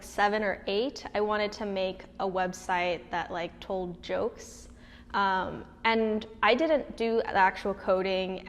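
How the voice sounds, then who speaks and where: A young woman from Hawaii speaking in a documentary interview, talking conversationally with many fillers and restarts. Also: mid-range voice. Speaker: tech mentor and interviewee. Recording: long reverb.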